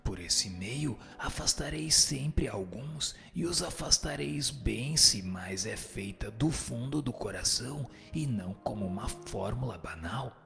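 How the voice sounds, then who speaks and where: A man's voice: medium pitch, lively intonation, a little slow, gravelly, hardly any pauses, sharp tone, faint music behind, heavily acted. A man playing a solemn spirit instructor in a radio drama, reciting didactic answers in an admonishing, formal way. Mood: solemn, instructive. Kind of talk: dramatized reading of a spiritist text.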